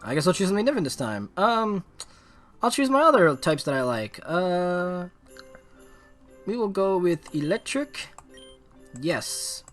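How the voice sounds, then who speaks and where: A man's voice: high-pitched, sing-song, a little slow, long pauses, faint music behind. A man doing casual, irreverent commentary on a video game, reading text aloud and skimming past it with filler syllables. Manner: irreverent, skims text with blah-blahs and sing-song filler.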